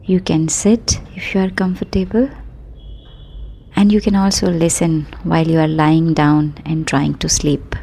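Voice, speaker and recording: A woman guiding a sleep meditation in a soothing, unhurried manner, speaking affirmations in short phrases with long pauses. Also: low voice, steady tone, gravelly, mellow, slightly rough. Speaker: meditation guide.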